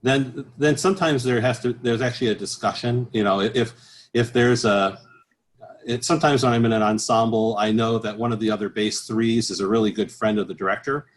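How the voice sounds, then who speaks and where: Male interview guest, medium pitch, conversational and explanatory, halting delivery with repeated phrases. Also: steady tone. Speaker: interview guest, professional bass singer and choir director.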